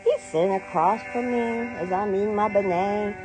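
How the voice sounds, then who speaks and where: Casting: middle-aged American woman, mid-pitched, sassy and playful casual storyteller, with clear background music. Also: normal speed. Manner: playful, sassy, acts out dialogue. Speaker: storyteller, online content creator. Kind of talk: casual personal storytelling to camera. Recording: music playing behind the voice.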